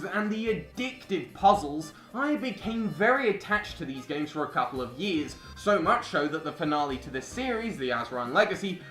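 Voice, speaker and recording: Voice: mid-range voice, a bit bright. A young adult reading a scripted video essay as a film reviewer, with music behind. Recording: roomy voice-over with music behind.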